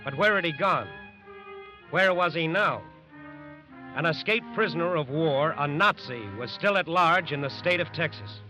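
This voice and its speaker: A man's voice: a little high, normal speed. A man narrating a 1940s radio crime drama in an emphatic, suspenseful scripted style. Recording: muffled old radio recording with music. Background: music.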